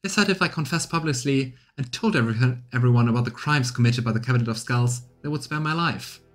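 A man's voice: mid-range voice, fast talker, close to an everyday voice. A man voicing a bitter, defeated male assassin from a fantasy game, confessing his past resentfully.

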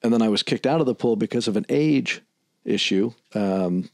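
A man's voice: on the low side, moderate pace, creaky, darkish tone, no echo. A middle-aged man talking casually in a podcast discussion, close to the microphone. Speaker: podcast co-host discussing aviation. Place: small office studio, indoors. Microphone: close podcast mic on boom arm.

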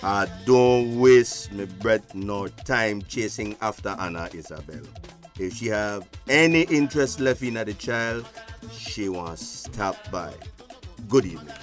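A man acting in a radio drama as a stern, weary, dignified older gentleman with a Belizean Creole accent. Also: on the low side, animated, measured pace, soft background music. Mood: weary, resigned.

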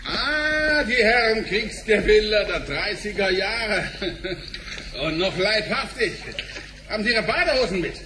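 An actor playing a brash, bossy company director in a radio drama, sardonic and barking. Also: male voice, high-pitched, expressive, unhurried, background noise. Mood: mocking. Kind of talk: German sci-fi radio drama acting.

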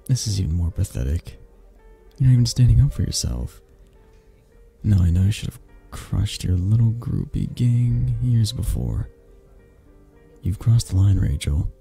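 A man playing a possessive mafia boss in a romantic ASMR audio roleplay, speaking haltingly with sighs and pointed pauses in a low, breathy, husky voice. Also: mellow, exaggerated voice. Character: possessive, menacing young mafia boss, softening into awkward tenderness.

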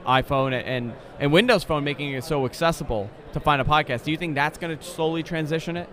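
Slightly high-voiced male interviewer in his thirties. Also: fast talker, fairly bright tone. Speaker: interviewer, podcast host. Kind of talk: casual conversational interview. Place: convention expo booth, indoors. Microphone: close studio microphone on desk.

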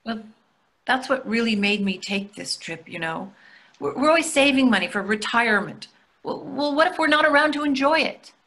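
A woman's voice: a little high, animated, moderate pace, pushed and bright. A woman in her sixties playing a practical, self-deprecating, reassuring wife in a play reading over a video call, dry and matter-of-fact. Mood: defensive. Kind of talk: readers theatre play reading. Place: plain room indoors. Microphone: computer mic on a video call.

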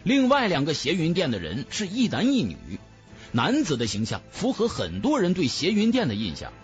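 A man's voice: on the high side, huge pitch swings, moderate pace, highs cut off, faint music behind. A man reading a fantasy novel as a dramatic audiobook narrator.

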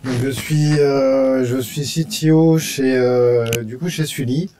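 French male co-presenter, casual, halting, plain mid voice. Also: mid-range voice, steady tone, reverberant, background noise. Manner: halting, filler-heavy self-introduction. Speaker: co-presenter, technical lead. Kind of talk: casual conference talk. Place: meetup room with audience.

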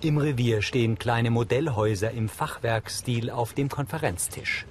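A man's voice: medium pitch, moderate pace. A man reading scripted narration as the audio describer of a TV episode. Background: soundtrack music.

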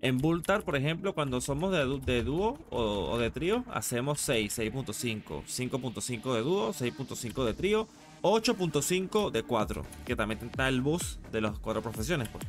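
A man's voice: mid-range voice, very animated, normal speed. Latin American man streaming over music. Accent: Latin American Spanish. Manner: informal, slangy, swears casually. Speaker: game streamer. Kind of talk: casual live gaming stream chat.

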